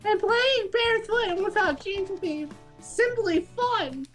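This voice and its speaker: A woman voicing an excitable, pizza-obsessed game narrator in a silly high voice, rambling along earnestly. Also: measured pace, soft background music. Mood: excited. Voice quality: very high-pitched, silly put-on voice. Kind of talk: silly character read-aloud of a visual novel.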